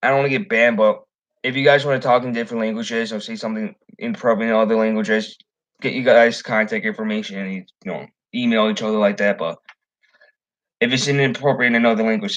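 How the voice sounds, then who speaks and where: A man's voice: lowish voice, fairly even intonation, fairly quick, fairly bright tone. A young man from the Chicago area chatting casually on his fishing livestream, rambling with many ums and restarts. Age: young adult. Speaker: fishing channel host.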